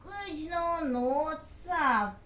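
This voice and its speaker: A woman voicing a kind, hardworking hedgehog in a puppet show, speaking in an earnest, steady way. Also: high-pitched, extremely slow, slight background noise, exaggerated voice. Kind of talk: puppet theatre acting. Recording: echoey room.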